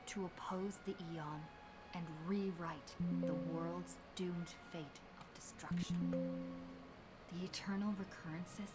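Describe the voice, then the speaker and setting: Solemn young heroine telling an epic game story, lowish voice, slow, music under it. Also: female voice. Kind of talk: scripted story recap narration.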